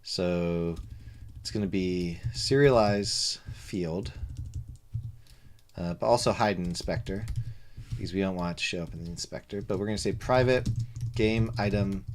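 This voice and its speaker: Low, soothing man coding live. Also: normal speed, pauses often. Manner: rambling, self-talk with many fillers and asides. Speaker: game developer streamer. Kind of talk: live coding stream, casual narration.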